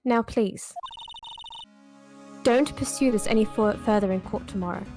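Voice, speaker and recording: A woman reading the lines of a composed, guarded female chief prosecutor from a game, in a measured, formal way. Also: fairly high pitch, music in the background, natural voice. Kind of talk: reading game dialogue aloud on stream.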